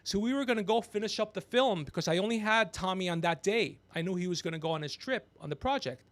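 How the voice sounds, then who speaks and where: A gregarious man hosting a podcast, telling rambling comedic stories with animated, teasing asides. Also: sits high, fast talker, no room sound. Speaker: podcast host. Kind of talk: rambling comedic storytelling on a livestream.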